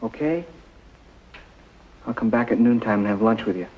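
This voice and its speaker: An actor playing an impatient but caring guardian in a 1950s American TV drama, speaking colloquially with an American accent. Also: male voice, on the low side, normal speed, lightly acted. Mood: firm, caring. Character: impatient but caring male guardian reassuring a nervous boy. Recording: dull lo-fi 1950s television soundtrack.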